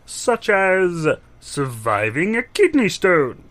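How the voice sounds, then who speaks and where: A man's voice: high-pitched, very animated, slow pace, fairly bright tone, full character voice. A man playing a courteous, fussy job interviewer at a greeting-card office in improvised audio comedy, polite and hesitant. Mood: earnest, fussy.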